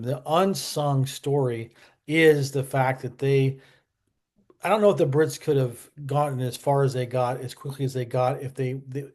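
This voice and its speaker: A man with a medium-pitched voice hosts a history vlog. He talks in a casual, colloquial way, offering opinions and drifting into asides as he explains. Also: a little mellow. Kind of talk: casual, conversational history commentary.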